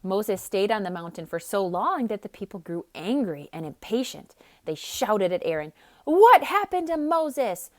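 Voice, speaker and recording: A woman storyteller reading a picture book aloud for children in an expressive, dramatic style. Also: medium pitch, moderate pace, hardly any pauses. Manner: expressive, dramatic, with hushed emphasis. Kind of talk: theatrical read-aloud narration with casual asides.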